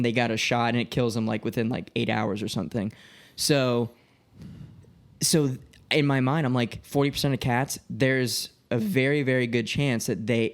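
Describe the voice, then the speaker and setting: A man in his late twenties with an average-pitched voice talks loosely and informally as a podcast co-host. He tells stories in an animated way, voicing the dialogue he quotes and swearing freely. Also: normal speed. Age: late 20s. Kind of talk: casual chat, telling personal anecdotes.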